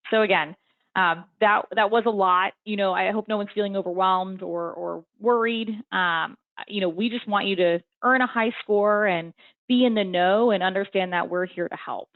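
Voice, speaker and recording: A young woman talks through a webinar in a friendly, loose way, full of ums and you-knows. Her voice has a gravelly fry to it. The sound is dry and thin, like a phone line. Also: mid-range voice, normal speed, dry recording. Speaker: webinar presenter and consultant. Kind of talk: casual webinar explanation. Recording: tinny phone-like webinar audio.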